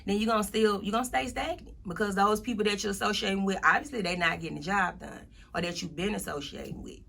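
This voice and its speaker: A woman's voice: mid-range voice, fast, vocal fry, a bit bright. A woman of thirty-one, speaking as a motivational guest in a casual interview, emphatically repeating her points.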